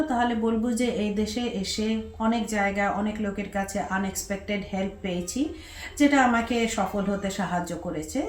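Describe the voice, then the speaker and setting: An older woman with a Bengali accent answering interview questions conversationally, pausing to find words and mixing in English. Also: mid-range voice. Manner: hesitant pauses, English words mixed in. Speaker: guest, doctor. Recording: roomy, echoing voice pickup.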